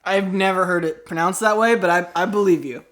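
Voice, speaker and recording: A podcast host improvises comedy in loose banter. The delivery is halting, with self-corrections along the way, at a middling pitch. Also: fairly bright tone.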